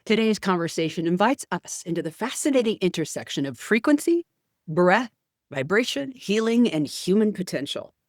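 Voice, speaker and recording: Woman, podcast host, medium pitch, soft deliberate delivery, dry close sound. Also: big pitch swings, dry recording. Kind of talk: scripted intro, then interview.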